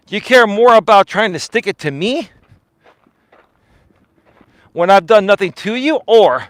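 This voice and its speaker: A man with a fairly high voice rants to his live-stream audience, blunt and argumentative, and keeps qualifying his points. The sound is dry and close, with no room echo, captured on a mobile mic while he walks outdoors. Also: lively intonation, unhurried, a bit bright. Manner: blunt, argumentative, repeats "in my opinion". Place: outdoors on a walk.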